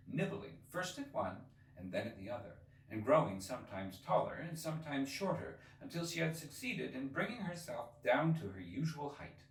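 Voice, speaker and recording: A man reading a children's story aloud as expressive scripted narration. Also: mid-range voice. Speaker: storybook reader. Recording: roomy, echoey, distant from the mic.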